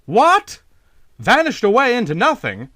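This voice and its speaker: An excitable gentleman, put on by a male narrator exclaims in alarm in a storybook. His voice jumps up high and urgent, in a dry recording. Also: unhurried, no echo, exaggerated voice. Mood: astonished. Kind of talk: storybook character dialogue.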